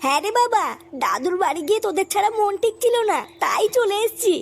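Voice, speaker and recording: Woman voicing a high-pitched, affectionate cartoon mum. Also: lively intonation, fast, gravelly, heavily acted. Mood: affectionate, casual. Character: cheerful, affectionate cartoon mother. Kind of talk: comic cartoon dialogue. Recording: faint music behind the voice.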